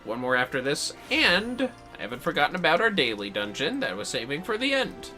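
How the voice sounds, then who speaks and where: Animated livestreaming gamer with a bright voice. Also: medium pitch, brisk, soft background music. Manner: sweary, playful, reactive. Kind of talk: casual game-stream commentary.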